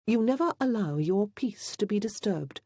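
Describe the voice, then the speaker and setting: A woman's voice: mid-range voice, expressive, normal speed, dark tone, husky, no echo, studio quality, pitched above the performer's own voice. A woman voicing a candid, spirited heroine in a romance audiobook. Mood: wry.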